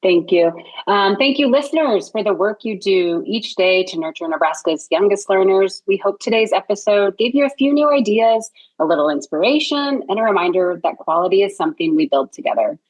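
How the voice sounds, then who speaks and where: A woman's voice: medium pitch, expressive, a little fast, few pauses, rough recording. A woman hosting a podcast interview, upbeat and enthusiastic, laughing often as she chats. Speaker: podcast host.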